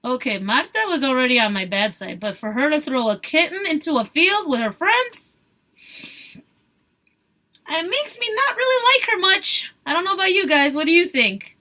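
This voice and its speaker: A woman teacher reading a story aloud expressively, pausing to put questions and asides to her young listeners. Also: high voice, very animated, pushed and bright.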